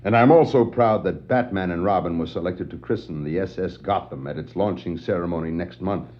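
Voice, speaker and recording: Guy as calm low voiced superhero boss type, authoritative, dull old vinyl radio drama sound. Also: brisk, lightly acted. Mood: composed. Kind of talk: 1960s children's record dramatization. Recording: muffled 1960s vinyl record.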